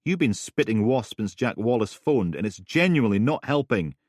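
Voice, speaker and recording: A man voicing an easygoing young male detective who tries to calm things down with wry remarks. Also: fairly low pitch, moderate pace, dry recording, studio quality, pitched above the performer's own voice. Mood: placating. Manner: placating, wry.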